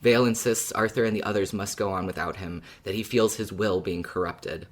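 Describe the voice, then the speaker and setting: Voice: sits low, steady tone, a little fast. A podcast host who chats casually about a story in a fan discussion and laughs often.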